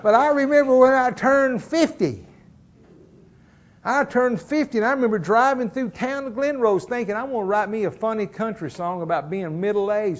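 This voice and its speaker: A man's voice: high-pitched, highs cut off. A middle-aged man, preaching a sermon as pastor in folksy storytelling fashion. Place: church.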